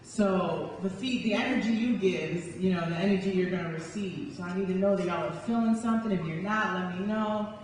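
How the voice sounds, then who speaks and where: A woman's voice: mid-range voice, fairly quick, hardly any pauses, darkish tone. A young woman, a spoken word poet performing live for an audience. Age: young adult. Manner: dramatic, rhythmic, emphatic delivery when reciting. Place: large indoor hall before an audience. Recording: echoey hall from far off, noisy.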